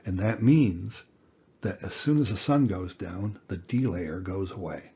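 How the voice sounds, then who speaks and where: Older man, low husky voice, teaching. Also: unhurried, mellow, highs cut off. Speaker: instructor. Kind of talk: educational lecture with plain explanations.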